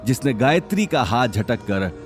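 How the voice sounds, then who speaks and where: A man's voice: mid-range voice, sing-song, moderate pace, music in the background. A man working as narrator, reading a scripted dramatic story.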